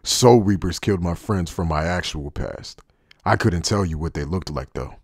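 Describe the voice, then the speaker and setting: A man acting as a world-weary, cynical anime traitor speaks in a deep voice with a husky grain. He is guarded and wry, laid-back yet deliberate, in a very dry, close sound. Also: dry recording. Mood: grim, matter-of-fact. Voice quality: husky, low. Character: cynical, guarded male former Soul Reaper turned traitor. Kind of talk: anime fan dub acting.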